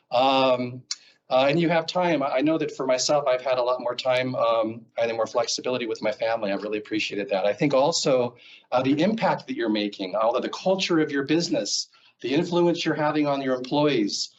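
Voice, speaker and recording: A man's voice: mid-range voice, fairly quick, muffled recording. A middle-aged man from Seattle with an American accent, giving a rambling conference talk full of fillers in a presentation room. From Seattle, Washington, US. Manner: rambling, filler-heavy, self-correcting. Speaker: guest speaker. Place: presentation room, standing before a screen.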